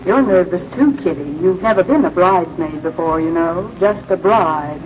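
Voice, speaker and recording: An actress voicing a bride's fretful, pleading conscience as an inner voice in a 1940s radio drama. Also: female voice, on the low side, normal speed, background noise, lightly acted. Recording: muffled 1940s radio with music.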